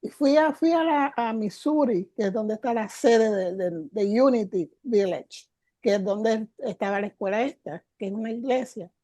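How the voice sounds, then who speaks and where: A woman's voice: on the high side, expressive, normal speed, mellow, no echo. An older woman, a guest teacher, telling her story in an interview with emotion, repeating words for emphasis. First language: Spanish.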